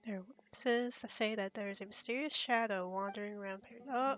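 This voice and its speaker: A woman's voice: medium pitch, brisk, soft background music. A woman streaming a video game, giving casual commentary as she plays.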